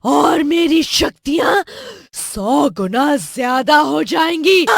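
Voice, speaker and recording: A woman voicing a cruel, menacing witch who gloats in slow, drawn-out threats and craves power. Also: high voice, expressive, vocal fry, sharp tone, no room sound, clean studio sound, pitched above the performer's own voice, heavily acted. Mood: gloating menace.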